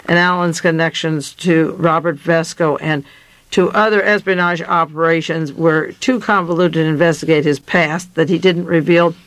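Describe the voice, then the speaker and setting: A woman's voice: lowish voice, dry recording. A middle-aged woman giving informal, opinionated radio news commentary in a matter-of-fact way.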